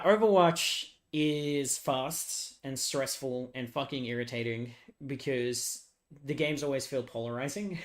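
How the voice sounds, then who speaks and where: A hoarse young man chatting casually with viewers on a live stream, rambling, laughing often and swearing freely. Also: medium pitch.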